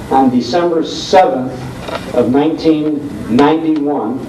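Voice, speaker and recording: Mellow-voiced man in an echoey room. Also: mid-range voice. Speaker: radio host speaking on a panel. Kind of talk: panel talk to a convention audience. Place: convention room, informal video recording. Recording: echoey room, distant mic, faint noise.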